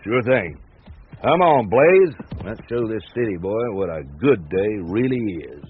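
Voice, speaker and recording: An actor playing an easygoing, laconic ranch hand with a folksy drawl from the American West, in a radio drama. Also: male voice, on the low side, expressive, unhurried. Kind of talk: naturalistic radio drama acting. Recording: tinny narrow-band radio sound, background noise.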